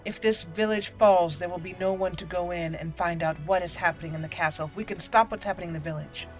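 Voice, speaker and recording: A woman voicing a resolute young elf mage in a roleplayed game walkthrough, formal, blunt and measured. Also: on the low side, natural voice. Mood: blunt resolve. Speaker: gaming host. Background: game music.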